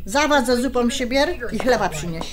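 An actress playing a sharp-tongued, pious older mother-in-law with a Polish highlander accent in a radio drama. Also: animated, moderate pace, a crowd in the background, lightly acted. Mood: scolding, bossy. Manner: scolding, blunt.

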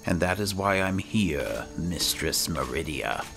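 A man narrating a fantasy game in character, measured, solemn and dramatic. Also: low-pitched, lively intonation, vocal fry, over music. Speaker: gaming video host playing in character.

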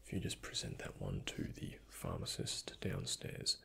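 A young man speaking in a breathy, hushed ASMR whisper, gently and unhurriedly, close to the microphone as in a doctor roleplay. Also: rumbling low, sing-song, normal speed. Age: young adult. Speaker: ASMR creator playing a doctor. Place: indoors, close before a dark backdrop.

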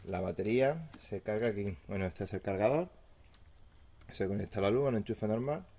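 A man's voice: on the low side, expressive, moderate pace, warm tone. A man giving a casual, step-by-step unboxing review, explaining plainly as he goes. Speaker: product reviewer. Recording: clear background noise with handling crinkles.

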